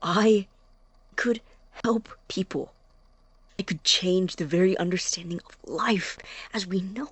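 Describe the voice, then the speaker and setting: A woman playing an anxious, obsessive young science student in an audio drama, halting and interrupting their own thoughts with intensity. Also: medium pitch, darkish tone, husky, dry recording.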